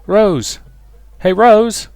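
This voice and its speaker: A man's voice: high-pitched, extremely slow, darkish tone, no room sound, pitched above the performer's own voice. A man voicing a playful, lovestruck young man who woos earnestly in a visual novel reading. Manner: earnest, teasing, wooing. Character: eager, playful lovestruck young man courting a shy girl.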